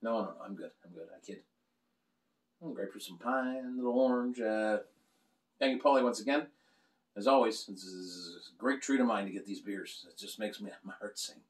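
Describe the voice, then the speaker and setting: A man's voice: lowish voice, normal speed. A middle-aged man rambling and joking through a casual beer review, recorded indoors by a camera across the table. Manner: animated, joking, gestures a lot while talking. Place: small room lined with beer shelves, indoors. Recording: roomy, slightly distant sound.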